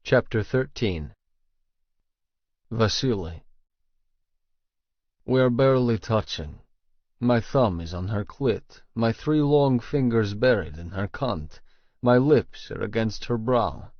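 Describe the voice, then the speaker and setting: Gravelly, slow male audiobook narrator. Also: on the low side, animated, no echo, dull audio. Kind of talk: first-person romance audiobook narration.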